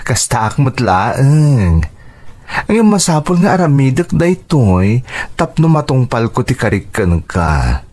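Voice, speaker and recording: A man with an Ilocano Filipino accent acting in a radio drama as a devoted, generous uncle, speaking in an emotive, drawn-out way. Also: lowish voice, a little mellow, heavily acted. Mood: tender, earnest. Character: devoted, generous uncle supporting his niece's schooling.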